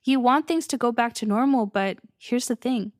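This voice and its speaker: A woman's voice: on the high side, moderate pace, no echo, studio quality. A young adult woman hosting her own podcast as a coach, talking casually and upbeat, often breaking into little laughs. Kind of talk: solo podcast monologue.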